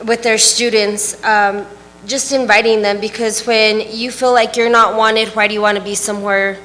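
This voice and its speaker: A woman's voice: medium pitch, fairly bright tone, long reverb tail, rough recording. A woman school board candidate answering a question at a public forum, chatty and repeating her phrases.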